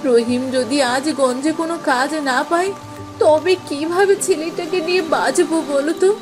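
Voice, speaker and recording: A woman's voice: high-pitched, moderate pace, music in the background, close to an everyday voice. A woman voicing a poor, anxious village mother in a moral-story cartoon, plaintive and pleading. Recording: faint rain noise behind.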